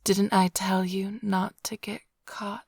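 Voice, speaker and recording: Woman playing a breathy, whispering vampire seductress. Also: medium pitch, flat delivery, slow, no echo, clean studio sound, exaggerated voice. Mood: teasing, smug. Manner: teasing, drawling, seductive. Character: flirtatious, teasing, smug female vampire thief. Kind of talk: whispered ASMR roleplay. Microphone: close-up studio microphone, dry.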